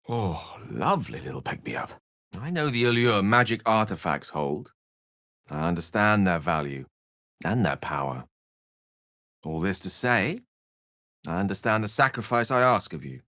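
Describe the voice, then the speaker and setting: A learned wizard from a fantasy video game, with a fairly low voice that moves expressively up and down. He phrases things elaborately and theatrically, with dry wit, in a close, dry recording. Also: a bit bright, dry recording. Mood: wry. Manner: articulate, wordy, theatrical phrasing. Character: eloquent, scholarly male human wizard with dry wit.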